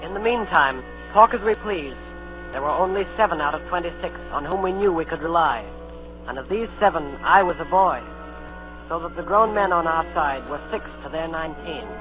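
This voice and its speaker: High-pitched male-acted young adventurer narrating, tinny radio. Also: normal speed, natural voice. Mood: grave storytelling. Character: adventurous boy narrator recalling his tale. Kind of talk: dramatic scripted narration, old radio drama. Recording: tinny vintage radio broadcast with music.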